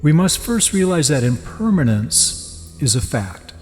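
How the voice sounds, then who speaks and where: A man giving a guided meditation teaching in a measured, deliberate way, with pauses between phrases. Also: on the high side, very animated. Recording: long reverb, soft music behind. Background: music.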